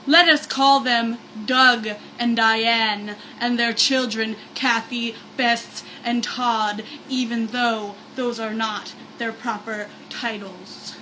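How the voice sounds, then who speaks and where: A woman's voice: fairly high pitch, steady tone, slow pace, few pauses, sharp tone, a little hiss or hum, low-quality recording. A woman reading a story aloud in a sarcastic, mock-dramatic comic manner, with joking asides. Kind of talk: comedic read-aloud with mock-spooky asides.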